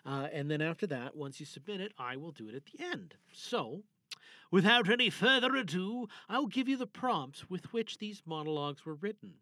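Male podcast host, casual and enthusiastic, slightly higher-pitched voice, emphatic delivery, very dry sound. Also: very animated, moderate pace, sharp tone, no room sound, low-quality recording.

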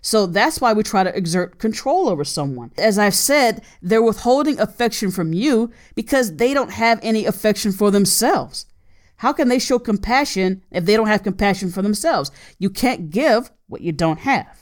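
A woman's voice: mid-range voice, animated, moderate pace. Woman in her 30s doing a self-help podcast, earnest, says the big points twice. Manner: earnest, repeats key points for emphasis. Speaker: podcast host. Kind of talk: advice podcast monologue.

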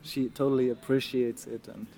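A man's voice: mid-range voice, flat delivery, normal speed, warm tone, a little hiss or hum. A man from East Germany in his thirties, a German native speaker with a German accent, talking earnestly as an interview guest, often restarting words and laughing. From East Germany, Saxony. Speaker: guest, mime artist. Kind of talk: casual interview.

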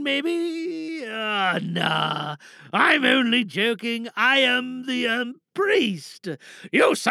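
Comic audio drama: performer as reckless, self-righteous driver, indignant and wheedling, loud high swings, sharp tone. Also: gravelly, no echo, exaggerated voice. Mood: flustered, wheedling. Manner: indignant, mock-pious, wheedling excuses. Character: frantic, self-righteous reckless driver lying to a police officer.

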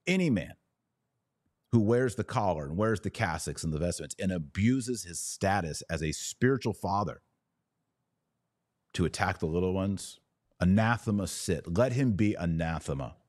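Casting: male podcast host, very low voice, close dry sound, emphatic religious commentary delivered live. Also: lively intonation, normal speed, darkish tone, dry recording. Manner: emphatic and preachy, with short declarative repeats.

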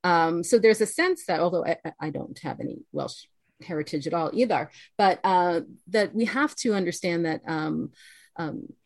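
American woman guest, lowish voice, dry close sound, earnest, keeps restarting. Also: normal speed, creaky, no echo. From United States. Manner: thoughtful, earnest, many restarts. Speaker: author and guest. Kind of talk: podcast interview.

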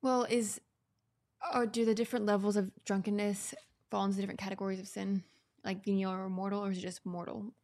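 Young woman, American accent, vocal fry, casual podcast co-host, inquisitive, slightly hesitant, mid-pitched. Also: little pitch movement, a little fast, darkish tone. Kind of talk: casual question and answer chat.

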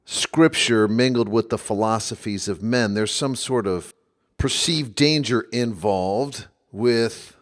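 Male podcast host; low-ish voice, musing, conversational, dryly wry at times. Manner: thoughtful, conversational, occasionally wry. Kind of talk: reflective solo podcast monologue.

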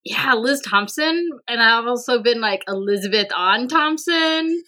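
A woman guest on a conversational podcast, speaking slowly. Her voice is bright, and she hesitates often, circling back with fillers and restarts. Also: on the high side, lively intonation. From Vietnam. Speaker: podcast guest, university administrator. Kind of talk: casual conversational interview.

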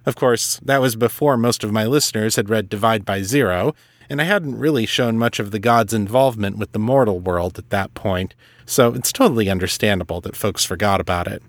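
A man hosting a solo podcast, reading and answering listener letters in an articulate, measured, explanatory way. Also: fairly low pitch, no echo. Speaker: podcast host and novelist.

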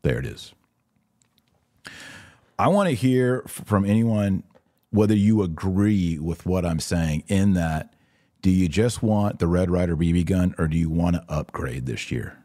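A man with a very low, dark voice that has a husky grain to it. He talks as a commentary host, opinionated and emphatic, repeating key phrases as he responds to comments. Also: unhurried. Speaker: podcast host and religious commentator. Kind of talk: live commentary answering viewer comments.

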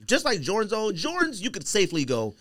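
American man with very animated, swooping delivery. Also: high voice, a little fast, dry recording, studio quality. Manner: slangy, uses exclamations and rhetorical questions. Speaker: podcast host. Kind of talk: casual podcast chat about sneakers.

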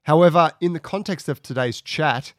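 A man with a raspy voice from a cold, speaking conversationally as he introduces his podcast from home. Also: fairly high pitch, normal speed, fairly bright tone, no room sound, studio quality. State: has a cold. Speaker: podcast host.

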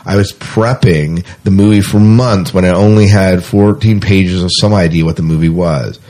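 A man's voice: low-pitched, fairly even intonation, normal speed, mellow. A man co-hosting a casual podcast, talking in a blunt, opinionated way and sometimes reading news items aloud. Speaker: podcast co-host.

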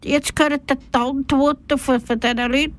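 A woman's voice: sits high, steady tone, brisk. A woman with a Swiss German accent presenting on radio, telling a personal report in simple words.

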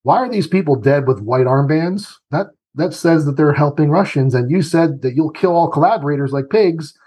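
Man, husky voice, highish pitch, argumentative, emphatic guest. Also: moderate pace, warm tone. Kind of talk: opinionated political commentary.

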